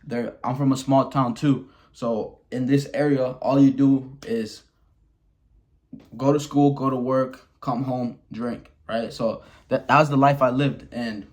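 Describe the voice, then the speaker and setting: A man's voice: mid-range voice, fairly even intonation, unhurried, a little mellow. Young man, early twenties, from Wisconsin, blunt, swears a lot, stop-start speech. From Wisconsin, USA. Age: 20s. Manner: blunt, frequent swearing and restarts. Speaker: vlogger. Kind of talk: candid personal monologue.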